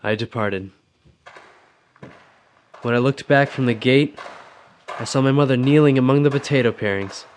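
An actor playing a restless, brooding young Civil War recruit in a radio drama, earnest in manner, with an American accent. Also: male voice, mid-range voice, normal speed, pauses often, a little hiss or hum, lightly acted. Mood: reflective. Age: young adult. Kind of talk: radio drama acting and first-person narration.

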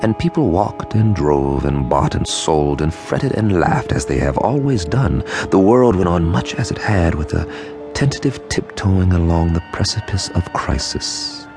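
An actor playing a somber, rueful man recounting a calamity in a radio drama, brooding and deliberate with weighty pauses. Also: sits low, animated. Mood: somber, reflective. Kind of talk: scripted first-person radio drama narration. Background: music.

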